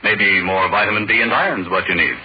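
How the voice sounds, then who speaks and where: Male radio announcer, deep-pitched, formal and measured, vintage 1940s scripted delivery, noisy old broadcast. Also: highs cut off. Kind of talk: 1940s radio scripted narration and sponsor read.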